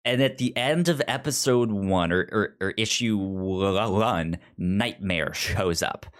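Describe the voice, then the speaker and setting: A man with a lowish voice talks casually as a podcast co-host. He speaks slowly and haltingly, often repeating the first sound of a word before getting it out. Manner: halting, frequently stammers and repeats word starts. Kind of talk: casual comic book discussion.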